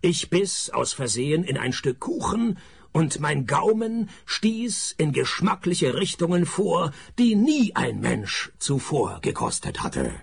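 An actor playing a cynical, wisecracking male detective who narrates his case in a radio play, dry and sardonic, with a gravelly voice. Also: on the high side, big pitch swings, slow, heavily acted. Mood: deadpan narrating.

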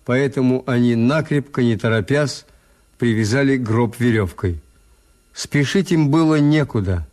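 Актёр играет усталого ироничного хозяина гостиницы, который сдержанно и сухо ведёт рассказ в советской радиопостановке. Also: male voice, medium pitch, unhurried, darkish tone, natural voice. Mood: measured, wry. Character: weary, ironic male hotel owner recounting events. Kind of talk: Soviet radio drama narration and dialogue.

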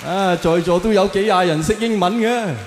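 A man's voice: high voice, normal speed, a faint crowd, a little hiss or hum, close to an everyday voice. A man voicing a bossy, boastful old patriotic grandfather with a Hong Kong Cantonese accent, scolding and lecturing in a stand-up routine. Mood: pompous explaining. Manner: scolding, lecturing, self-important. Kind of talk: stand-up character impression. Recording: echoey hall with long reverb.

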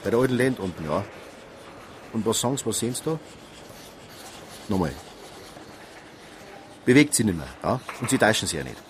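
A businesslike Bavarian desk officer played by a male actor with a low, animated voice. He questions briskly in colloquial dialect, as in a radio play. Noise and phone clicks surround him. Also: natural voice. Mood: brisk, questioning. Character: businesslike male Bavarian police dispatcher on duty. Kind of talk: naturalistic radio drama, phone and radio dispatch. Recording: noisy station with phone clicks.

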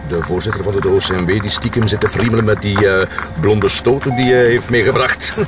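An actor playing a droll, easygoing Flemish police colleague in a naturalistic radio drama. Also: male voice, fairly low pitch, fairly even intonation, brisk, distant mic, natural voice. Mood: droll, calm. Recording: off-mic, music behind.

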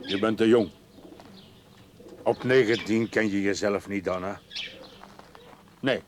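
A man's voice: lowish voice, steady tone, takes it slowly, noisy background. An actor playing a stern, disapproving middle-aged father in a radio drama, lecturing firmly in clipped phrases. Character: stern, disapproving, domineering father of a grown daughter.

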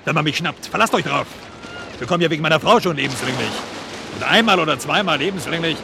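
An actor playing a desperate, menacing fugitive criminal in a German radio drama, gruff, agitated and defiant, with a gravelly voice. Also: male voice, on the high side, animated, fast talker. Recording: motor noise behind voice.

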